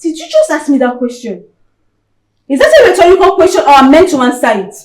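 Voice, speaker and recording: An actress playing a fierce but loving older sister who scolds and pleads emotionally. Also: female voice, sits high, wildly swooping pitch, fast talker, a little mellow. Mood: scolding.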